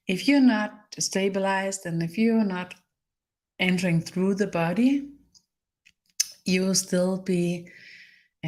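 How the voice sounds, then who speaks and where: A woman with a Danish accent, her first language Danish, leading a live-streamed spiritual healing session in a halting way, pausing to search for words. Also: mid-range voice, a little mellow. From Denmark. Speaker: energy healer.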